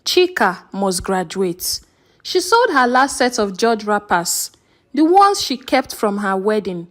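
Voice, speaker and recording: A woman's voice: medium pitch, big pitch swings, slow. A woman narrating a scripted folktale as a storyteller.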